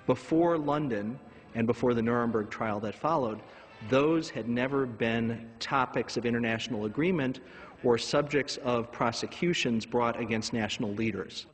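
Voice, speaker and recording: A man speaking as an expert in a documentary interview, listing points with deliberate emphasis. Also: medium pitch, big-room sound. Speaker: expert commentator.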